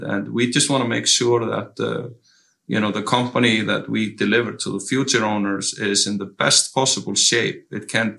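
A middle-aged man from Iceland, a company owner talking as an interview guest in a measured way, with many pauses and restarts. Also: on the low side, vocal fry, pushed and bright. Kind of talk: casual interview conversation.

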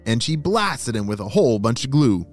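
Highly animated male kids' storyteller. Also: normal speed, faint music behind. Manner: exclamatory, addresses young listeners directly. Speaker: children's story podcast host and narrator. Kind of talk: animated scripted story narration for kids.

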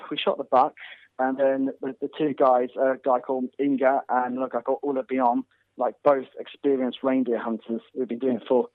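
A man's voice: mid-range voice, no room sound. Man from North Wales on a phone line. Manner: rambling with many restarts. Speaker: guest hunter and filmmaker. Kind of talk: casual interview chat.

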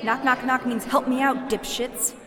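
A woman's voice: high voice, flat delivery, normal speed, pushed and bright, in a large hall, faint music behind. A woman playing a brusque, foul-mouthed female thief in an audio drama, speaking bluntly and urgently. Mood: irritated, brash.

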